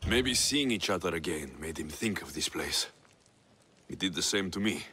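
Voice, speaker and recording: Deep, determined Greek mercenary. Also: male voice, animated, normal speed, bright, forceful tone, a little hiss or hum. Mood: concerned. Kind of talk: video game cutscene acting.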